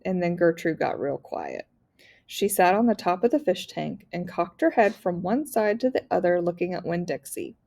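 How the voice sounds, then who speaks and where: A woman's voice: lowish voice, sing-song, normal speed, vocal fry, warm tone. A woman narrating a children's novel aloud, as a teacher reads to a class.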